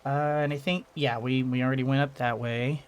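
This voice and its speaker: A man's voice: medium pitch, fairly even intonation, fairly quick, slight background noise. A man giving casual let's-play commentary, rambling along and thinking aloud as he goes. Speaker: gaming video host.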